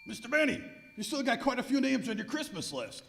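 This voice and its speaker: A man playing a cheeky, wisecracking valet with a gravelly voice and slyly innocent delivery in old-time radio comedy. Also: very high voice, sing-song, a little fast, heavily acted. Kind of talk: old-time radio comedy acting, live stage revue. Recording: echoey hall, live stage.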